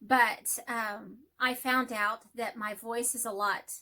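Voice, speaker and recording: Middle-aged Texan woman, nasal, bright, chatty self-deprecating vlogger. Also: on the high side, steady tone, slow pace. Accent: Texas. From Texas. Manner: rambling, friendly, self-deprecating. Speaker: hobby vlogger and math teacher. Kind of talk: casual chat to camera.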